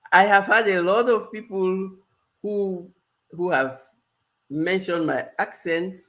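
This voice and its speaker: A woman's voice: medium pitch, extremely slow, pauses often. Woman, Cameroonian accent, life coach, live-stream talk, earnest, repeats herself for emphasis.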